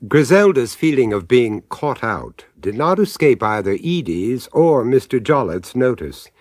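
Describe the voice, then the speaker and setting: A man narrating a radio horror play with wry humour. His mid-pitched voice moves expressively through each sentence, recorded close and dry. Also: big pitch swings, a little slow, darkish tone, no echo. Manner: wry, storytelling. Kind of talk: scripted radio drama narration.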